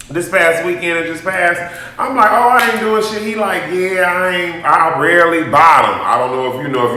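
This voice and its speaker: A man telling a personal story to his viewers in a sassy, dramatic, animated vlogging style. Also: fairly high pitch, a little hiss or hum. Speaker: YouTube vlogger. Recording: very echoey, roomy sound.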